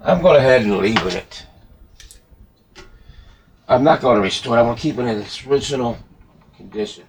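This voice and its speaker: A man's voice: medium pitch, expressive, brisk, pauses often. A man in a hobby workshop talking casually to himself as he works, folksy and given to mild swearing, like a repair hobbyist at the bench. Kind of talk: casual muttered talk while working at the bench. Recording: noisy workshop, clicks and handling sounds.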